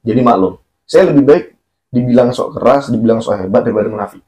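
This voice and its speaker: A man's voice: medium pitch, fast talker, warm tone. A man talking in a casual religious chat, blunt and preachy, laughing often. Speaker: content creator.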